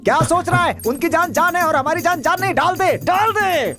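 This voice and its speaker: An actor playing an excitable, mouthy young man with a melodramatic manner in a dubbed comedy film. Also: very high voice, brisk. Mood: aggressive, taunting. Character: excitable young man, mocking, whining and self-pitying. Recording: loud film music behind. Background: loud film music.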